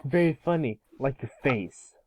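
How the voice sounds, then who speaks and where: A snarky ten-year-old boy hero from a cartoon, acted out by an amateur reader. He is curious and quick to tease. The sound is very dry and thin, like a phone line. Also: mid-range voice, lively intonation, husky, no echo. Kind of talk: amateur cartoon table read. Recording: muffled, phone-like voice call.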